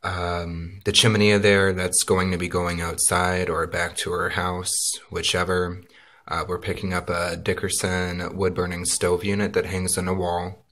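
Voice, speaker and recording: Bright-toned man narrating a home video. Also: deep voice, a little slow. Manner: relaxed, lots of uh and um fillers. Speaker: vlogger filming a DIY build. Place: backyard, then inside a small wooden cabin.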